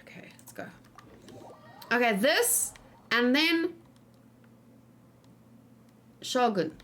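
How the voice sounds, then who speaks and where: A woman gaming streamer chatting casually with viewers, thinking out loud as she plays and breaking into animated exclamations. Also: a little high, extremely slow, slight background noise. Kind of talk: casual live game commentary, chatting with viewers.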